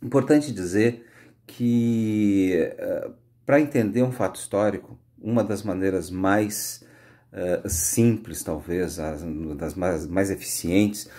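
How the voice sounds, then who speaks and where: A Brazilian man speaking as a high school history teacher, giving an informal, conversational lecture with frequent tag questions. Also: slow pace, warm tone. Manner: conversational, explanatory, frequent "né" tags.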